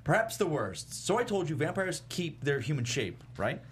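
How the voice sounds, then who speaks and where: Guy playing whiny awkward vampire dude, self-deprecating, pitch all over the place, comedy read, faint noise. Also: big pitch swings, normal speed. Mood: confessional. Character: whiny, self-pitying thirties male vampire, awkward and exasperated. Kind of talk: comedy script table read.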